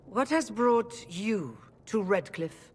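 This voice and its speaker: An actress playing a weary, defensive woman who leads rebel mages, speaking in a formal, measured way. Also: medium pitch, expressive, darkish tone, husky. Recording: long hall reverb.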